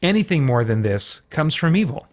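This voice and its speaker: A man hosting an informal Bible study for an online audience, talking in a casual, halting way as he thinks aloud with frequent asides. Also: on the high side, sing-song. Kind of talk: informal sermon, conversational Bible teaching.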